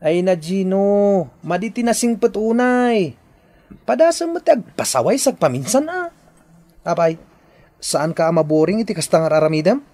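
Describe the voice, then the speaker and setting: A mischievous schoolboy sidekick voiced by a woman in a radio drama. The delivery swings up and down in pitch, teasing and coaxing all the way. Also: big pitch swings, moderate pace, dark tone, natural voice.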